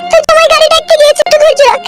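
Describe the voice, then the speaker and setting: Cartoon casting: fretful, nagging young village woman, played by a woman, extremely high voice, very fast, loud music underneath. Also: little pitch movement. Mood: urgent, worried. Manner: insistent, complaining. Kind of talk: cartoon comedy dialogue.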